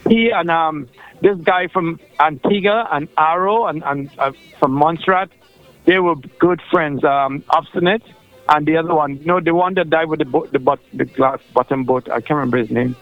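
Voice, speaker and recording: A man's voice: a little high, normal speed. A man with a Trinidadian accent calling in to a radio show, talking in a rambling, chatty way with lots of fillers and restarts. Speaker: radio caller. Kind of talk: casual phone-in chat. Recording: dull lo-fi phone line.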